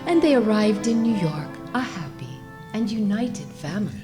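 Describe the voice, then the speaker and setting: A woman's voice: medium pitch, lively intonation, normal speed, over music. A woman reading a comic short story aloud as its narrator, in a scripted storytelling style.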